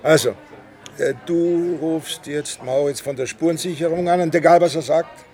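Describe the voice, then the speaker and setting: An actor playing a weary, stubborn police inspector in a German radio crime drama, speaking in a terse, dry way. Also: male voice, on the high side, steady tone, normal speed, a little mellow, close to an everyday voice. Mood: brusque, irritated. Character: weary, stubborn male police inspector narrating his own investigation. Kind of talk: German radio crime drama, dialogue and first-person narration.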